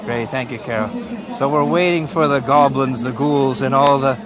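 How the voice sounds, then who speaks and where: A man from Canada, hosting a live walking tour of a night street festival and chatting with viewers over loud music. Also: mid-range voice, fairly even intonation, normal speed. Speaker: live-stream host. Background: loud live folk music and a crowd.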